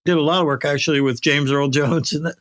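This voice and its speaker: A man's voice: on the high side, steady tone, fast, fairly bright tone, no echo, clean studio sound. A middle-aged or older man hosting a casual podcast, talking in a rambling, self-deprecating, conversational way. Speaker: podcast host and voice actor.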